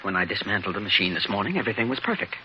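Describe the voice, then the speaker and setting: An actor playing a matter-of-fact, optimistic laboratory scientist in a 1950s radio drama. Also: male voice, lowish voice, very animated, very fast, pushed and bright, exaggerated voice. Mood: brisk, reassuring. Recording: dull, noisy vintage radio recording.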